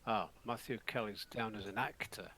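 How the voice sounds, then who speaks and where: A man's voice: on the low side, normal speed. A middle-aged man from the East Midlands of England chatting casually on voice chat, hesitant with many restarts. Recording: loud background noise.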